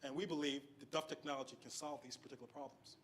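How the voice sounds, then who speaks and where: A man presenting use cases in a startup pitch, explaining them step by step and checking in with his audience. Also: fairly even intonation, fast, a little hiss or hum. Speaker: startup team member pitching.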